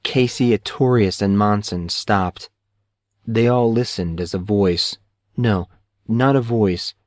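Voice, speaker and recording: A man narrating a fantasy novel in a measured, even storytelling manner. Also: on the low side, no echo, studio quality. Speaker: audiobook narrator.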